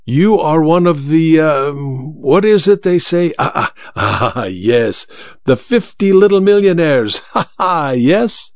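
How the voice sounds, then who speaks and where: List: man doing a vain blind stage magician, theatrical, raised lilting pitch, swooping delivery, dull dry recording. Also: animated, slow, no echo. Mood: sly, amused.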